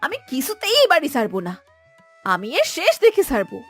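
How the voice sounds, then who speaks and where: Fiery young cartoon village wife female actor: high, swooping, complaining and melodramatic, light music underneath. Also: huge pitch swings, a little fast, heavily acted. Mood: defiant. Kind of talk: Bengali cartoon voice acting.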